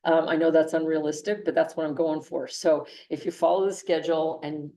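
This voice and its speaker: Low-voiced woman lecturing in an echoey classroom. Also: flat delivery, fairly quick, warm tone, far from the mic. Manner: conversational, with frequent restarts and "okay". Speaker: engineering instructor.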